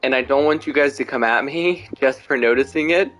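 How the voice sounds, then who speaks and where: A man's voice: mid-range voice, brisk, a bit bright. A man giving casual, joking reaction commentary as he plays a video game. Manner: informal, joking. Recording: faint game music behind.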